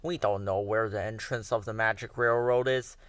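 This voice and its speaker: A man's voice: lowish voice, steady tone, normal speed, fairly bright tone, no room sound, voice pushed down, lightly acted. A man doing a growling, sneering villain engine. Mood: menacing. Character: menacing male diesel engine villain.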